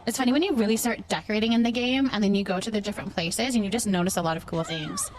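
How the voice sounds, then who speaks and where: A woman's voice: mid-range voice, racing. A woman livestreaming a game, chatting casually with viewers and thinking aloud as she goes.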